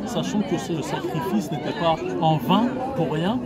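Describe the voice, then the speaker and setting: A man's voice: fairly high pitch, measured pace, heavy background noise. A young man from France, giving earnest, hesitant spontaneous answers in an interview. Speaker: interviewed festival attendee. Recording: crowd and music behind the voice. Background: music and crowd at a public celebration.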